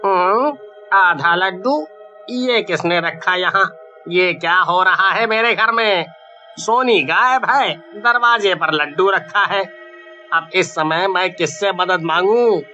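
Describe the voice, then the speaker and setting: A man acts out a worried, bewildered husband in an animated horror tale. His voice is high and sharply bright, delivered slowly with anxious questions and exclamations, over light background music. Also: lo-fi sound, heavily acted. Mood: worried, puzzled. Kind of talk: dramatized Hindi animated horror-story acting.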